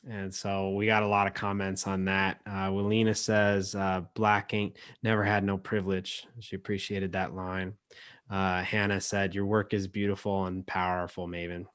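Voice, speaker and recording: A man emceeing an informal online event, speaking haltingly with frequent pauses. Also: sits low, a bit bright, clear, resonant tone, no room sound, clean studio sound. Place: indoors, remote video call. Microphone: video call.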